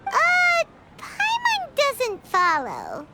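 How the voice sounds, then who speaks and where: A woman voicing a tiny, cheeky, excitable floating fairy girl in a video game, with an exclamatory, childlike manner. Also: extremely high pitch, extremely slow, heavy background noise, heavily acted. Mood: confused. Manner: exclamatory, speaks of self in third person. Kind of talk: English video game voice acting. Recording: music behind the voice.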